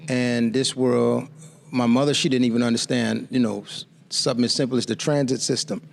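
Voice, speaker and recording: A man in his fifties from the Bahamas, telling his life story as a guest author in a measured, reflective way. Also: mid-range voice, steady tone, gravelly.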